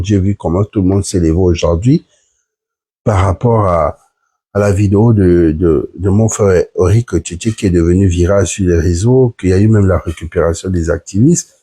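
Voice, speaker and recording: A man's voice: low voice, normal speed, dark tone, slightly rough. A man, sick with the flu, earnestly explaining point by point to viewers in a live stream. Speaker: platform member hosting a live stream.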